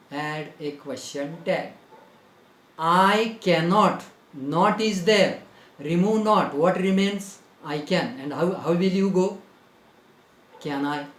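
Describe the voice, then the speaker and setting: Man, Indian English accent, teacher, slow deliberate dictation, fairly high voice, echoey room. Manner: slow, spells out words letter by letter; repeats phrases for dictation. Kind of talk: grammar lesson.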